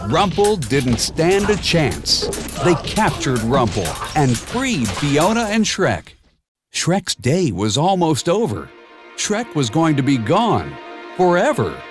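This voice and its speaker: A man narrates a children's read-along storybook at an unhurried pace. His pitch moves in lively swings as he tells the tale in short, clearly phrased sentences. Music plays behind his voice. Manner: measured, expressive storytelling with clear simple phrasing.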